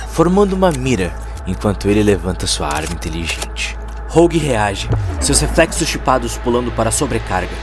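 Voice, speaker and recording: A man with a Brazilian accent reading a dramatic scripted story as narrator of an audio drama. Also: lowish voice.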